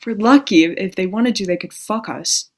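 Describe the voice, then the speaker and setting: A woman's voice: mid-range voice, moderate pace, a little mellow, close to an everyday voice. A woman on stage playing a chatty, self-mocking young woman with anxiety underneath, in naturalistic stage acting. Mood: anxious. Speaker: actor in a staged play reading.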